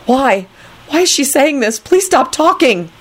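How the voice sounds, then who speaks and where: Woman playing a high, frantic, exasperated man. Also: expressive, moderate pace. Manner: blurting, frantic, repetitive. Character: flustered, vehement young man, easily exasperated.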